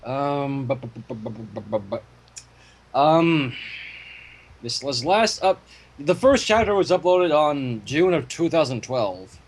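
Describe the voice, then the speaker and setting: Male host reading fan fiction, irreverent, lively intonation, slightly raspy tone. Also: medium pitch, slow. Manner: irreverent, profane asides. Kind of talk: story reading with casual commentary.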